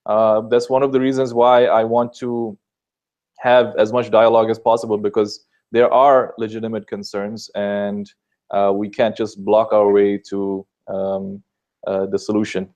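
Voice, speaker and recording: A man's voice: fairly low pitch, little pitch movement, measured pace, darkish tone, dull audio. A man from Sri Lanka answering interview questions in an earnest, explanatory way, with many filler sounds. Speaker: guest answering questions about Islam.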